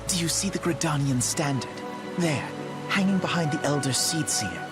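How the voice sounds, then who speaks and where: A man's voice: a little high, animated, normal speed, natural voice. An actor playing a courteous townsman in a fantasy game, explaining in a polite, formal way. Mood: proud, courteous. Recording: music and rain behind the voice.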